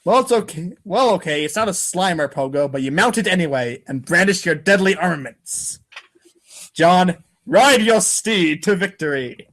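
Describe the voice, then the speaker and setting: Guy narrating a comic out loud, higher-ish voice, playful and theatrical. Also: animated, moderate pace. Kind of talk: playful dramatic reading of a webcomic.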